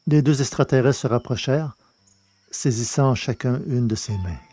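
A man narrates a novel in a measured, deliberate way. His voice is mellow and dark-toned, set at an average pitch for a man. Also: slightly rough, dry recording, faint music behind. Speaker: audiobook reader. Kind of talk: scripted narration of a science-fiction novel.

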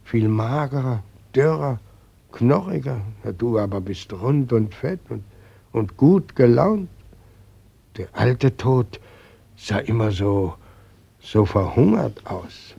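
An actor playing a helpless, lamenting old God nobody believes in, with a husky, creaky voice in a muffled radio play recording. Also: male voice, mid-range voice, expressive, warm tone, heavily acted. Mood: wistful, envious. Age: older. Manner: lamenting, slow, repetitive. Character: forgotten God, a weeping, helpless old man. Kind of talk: post-war German radio play acting.

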